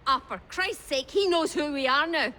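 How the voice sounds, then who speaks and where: A woman's voice: high voice, moderate pace, sharp tone. A woman playing a cocksure, dismissive Scottish gang member in a video game, scoffing and brash in manner. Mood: amused, resigned. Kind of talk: video game cutscene acting. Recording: voice over clear background noise.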